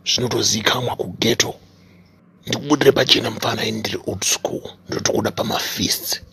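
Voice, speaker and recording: A man's voice: fairly low pitch, gravelly, pushed and bright, slightly rough, exaggerated voice. A man voicing a scornful old-school music producer in a cartoon parody, taunting and menacing, with a Zimbabwean accent. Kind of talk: cartoon parody press statement.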